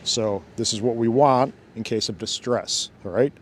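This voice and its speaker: A man's voice: medium pitch, normal speed, slight background noise. A man hosting a casual hands-on demo outdoors by a fountain, thinking aloud and laughing often as he goes. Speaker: drone channel host. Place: outdoors by a fountain, cold weather.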